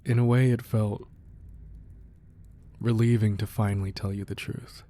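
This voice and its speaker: Guy acting as a protective crime boss boyfriend, low dark relaxed voice, soft and earnest, romantic asmr roleplay. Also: measured pace. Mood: tender, reflective. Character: devoted, protective crime boss boyfriend confessing his feelings. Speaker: audio roleplay performer. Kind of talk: romantic ASMR roleplay, intimate scripted monologue.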